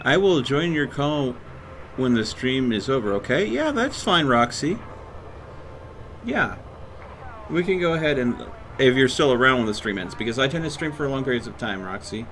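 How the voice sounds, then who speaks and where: A man's voice: medium pitch, big pitch swings, normal speed, a bit bright, a little hiss or hum. A man livestreaming a video game in casual chat, rambling and thinking aloud as he plays. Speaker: live streamer playing a video game.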